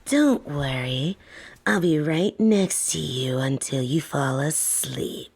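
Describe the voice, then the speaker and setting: A woman playing a soft-spoken, domineering lamia caretaker in a roleplay audio, teasing and coaxing with a condescending, softly menacing edge. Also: low voice, animated, slow pace, vocal fry, breathy edge, full character voice. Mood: soothing, coaxing. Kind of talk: scripted roleplay audio, intimate second-person address.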